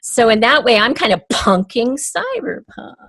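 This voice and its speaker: A woman's voice: a little high, very animated, moderate pace, gravelly, fairly bright tone, no room sound. A woman talking as a guest in a conversational interview, explaining her ideas thoughtfully. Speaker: podcast guest, audio drama writer.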